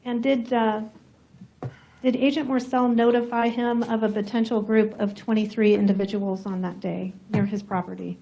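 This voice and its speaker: A soft-spoken woman prosecutor questioning a witness in a courtroom, measured and methodical. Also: a little high, little pitch movement, creaky, slight background noise, poor audio. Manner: measured, formal, methodical questions with some fillers.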